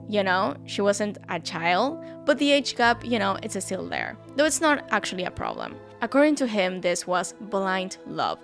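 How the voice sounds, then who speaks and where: A woman's voice: mid-range voice, a bit bright. A young woman from Argentina, a native Spanish speaker with an Argentine accent, telling a true crime story at home into a studio microphone with chatty asides to viewers. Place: home room, indoors. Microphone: studio mic on stand.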